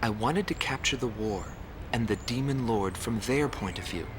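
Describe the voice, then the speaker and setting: An actor playing a sober documentary narrator, speaking in a measured, solemn way. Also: male voice, mid-range voice, background noise, lightly acted. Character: sober documentary narrator following a war pilot's trail.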